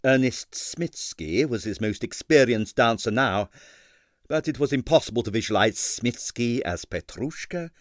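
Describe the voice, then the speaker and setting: A man narrating a comic novel, expressive and wry, in a scripted storytelling style. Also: mid-range voice, moderate pace, no room sound, clean studio sound. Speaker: audiobook narrator.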